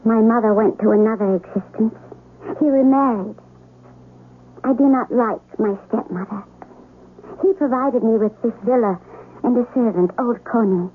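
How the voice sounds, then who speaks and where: Actress as high-voiced reserved young noblewoman. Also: normal speed, long pauses, lightly acted. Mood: demure, reserved. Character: reserved, gentle, high-born young Japanese lady. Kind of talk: 1970s radio drama acting. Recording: muffled vintage radio broadcast, faint noise.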